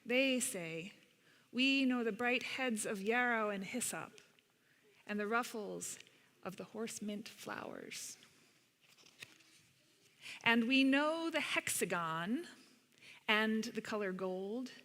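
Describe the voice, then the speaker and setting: A woman reading a picture book aloud in an unhurried, deliberate way with pauses, in a large church space. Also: on the high side, animated, a bit bright. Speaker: storyteller reading to children at a church service. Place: large indoor church sanctuary. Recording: reverberant large hall.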